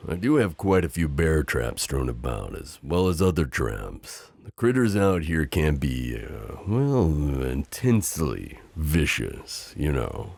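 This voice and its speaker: An extremely low, crackly-voiced knight, acted by a man, heard close and dry. He speaks in a courtly, tender way for a romantic audio roleplay, with lively swings in tone and a teasing, overprotective temper. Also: slow pace, gravelly, few pauses, dry recording, heavily acted. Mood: apologetic, firm. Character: loyal, teasing, overprotective male knight hiding a princess. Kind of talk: improvised romantic audio roleplay, addressing the listener.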